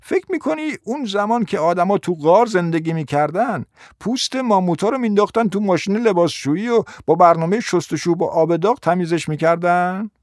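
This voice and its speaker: Man putting on a brash, high-voiced old grandmother. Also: expressive, no echo, studio quality. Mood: scornful ranting. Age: older. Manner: scolding, irreverent, grumbling. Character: brash, sarcastic, defiant old grandmother who smokes.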